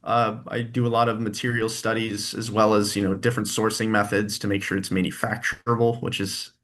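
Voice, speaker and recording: A man's voice: on the low side, little pitch movement, fairly quick, fairly bright tone. A man being interviewed, talking about his work in a friendly, rambling way and laughing easily. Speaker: guest, tribute performer and engineer. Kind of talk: casual interview chat.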